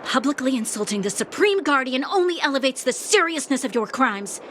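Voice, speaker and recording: An actress playing a stern, proud young military commander in a video game, formal and commanding. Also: female voice, high-pitched, expressive, brisk, fairly bright tone, a little hiss or hum. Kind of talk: English game voice acting.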